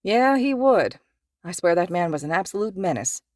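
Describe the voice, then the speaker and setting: A woman voicing a loud, cheerful male hero teacher with an exuberant, projecting manner. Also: on the low side, big pitch swings, moderate pace, no echo, clean studio sound. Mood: upbeat.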